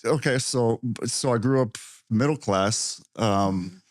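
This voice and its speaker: A man from Ohio who is a podcast guest telling his life story. He sounds a little nervous and speaks in a halting way, restarting his sentences often. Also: mid-range voice, no room sound, clean studio sound. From Ohio, USA. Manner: hesitant and halting, with many restarts.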